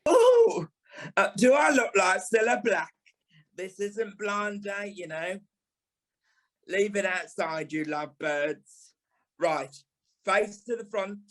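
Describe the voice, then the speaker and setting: An actor playing a camp, sharp-tongued British dance teacher and former stage dancer in an audio play, theatrical and sarcastic. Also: male voice, high-pitched, big pitch swings, slow, pauses often, exaggerated voice. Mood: mocking, bossy. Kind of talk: audio play monologue and dialogue.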